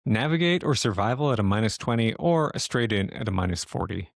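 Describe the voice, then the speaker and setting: A man's voice: fairly low pitch, animated, brisk, vocal fry, no echo. Casual man running a tabletop game, dry sound. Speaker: game master.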